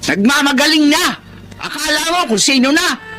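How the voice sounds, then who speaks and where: A woman's voice: high voice, animated, moderate pace, over music. An actress playing a demanding middle-aged mother who nags and guilt-trips in a Filipino melodrama. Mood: angry, accusing. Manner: nagging, defensive, accusing.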